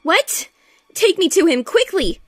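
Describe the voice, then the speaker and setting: A woman's voice: extremely high pitch, big pitch swings, unhurried, soft background music, exaggerated voice. A woman playing a gracious, worried pony princess in a cartoon fan drama, polite and earnest. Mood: alarmed. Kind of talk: fan audio drama acting.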